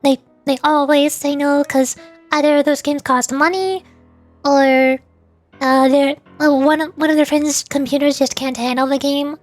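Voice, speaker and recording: Woman streamer, high voice, rambling casual chat, faint music behind. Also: fairly even intonation. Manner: chatty, halting with fillers and restarts.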